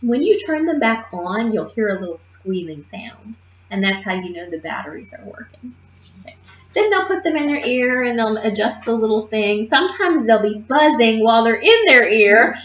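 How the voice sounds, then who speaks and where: A woman teaching a nursing assistant class in a lively, emphatic way, often checking in with her listeners. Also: fairly high pitch. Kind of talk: classroom lecture. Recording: muffled roomy classroom recording.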